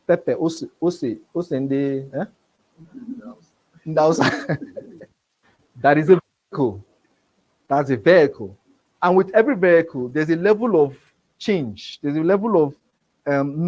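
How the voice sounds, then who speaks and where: A man's voice: a little high, darkish tone, husky, rough recording. A man with a Nigerian accent who stammers, repeating words as he teaches a live business seminar. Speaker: business trainer. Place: seminar room with a live audience.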